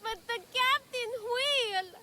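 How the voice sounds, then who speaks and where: A woman's voice: very high voice, slow, sharp tone, slight background noise, heavily acted. A woman voicing a young street thief girl, blunt and defiant.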